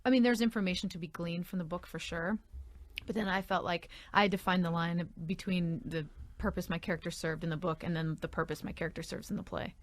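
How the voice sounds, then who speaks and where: A woman's voice: lowish voice, fairly even intonation, fast. A woman, an actress guest on a radio interview, explaining thoughtfully with some hesitation.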